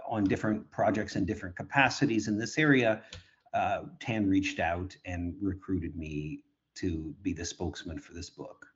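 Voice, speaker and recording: A Canadian priest with a fairly low voice answers interview questions, often repeating words and stopping on uh while he thinks. His audio is dull and lo-fi, as if sent from a remote setup. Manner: repeats words, many uh fillers. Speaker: priest and exorcist, guest.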